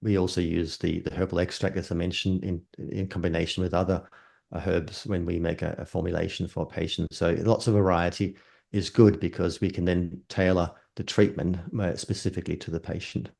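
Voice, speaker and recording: Low gravelly older man. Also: little pitch movement, a little mellow. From Denmark. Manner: measured, explains terms step by step. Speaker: herbalist. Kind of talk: explanatory interview answers.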